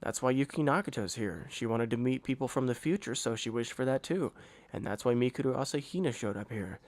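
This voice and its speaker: A man voicing a calm, polite teenage esper boy in an amateur anime fan dub, explaining things in a composed, courteous way. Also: mid-range voice, fairly quick, no echo, close to an everyday voice. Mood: calm, explanatory. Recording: loud music under the voice.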